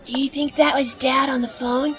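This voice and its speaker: A woman's voice: high voice, little pitch movement, normal speed, a bit bright, faint music behind. A woman playing a trusting, polite young boy in an audio drama. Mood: curious.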